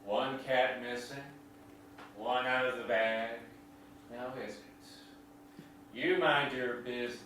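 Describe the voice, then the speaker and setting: A shabby, boozy, cryptic neighbor acted by a man who needles in terse folksy phrases at a slow pace. It is a staged reading, heard from a distance in a very echoey space. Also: mid-range voice, fairly even intonation, fairly bright tone. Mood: needling, menacing. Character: shabby, whiskey-soaked male neighbor, suspicious and cryptic. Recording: echoey room from afar, faint noise.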